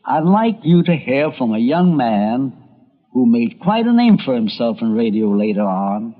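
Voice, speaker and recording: A man's voice: medium pitch, big pitch swings, a little slow. A man narrating a scripted documentary as host, in a folksy, dramatic storytelling manner. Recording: muffled, noisy old tape.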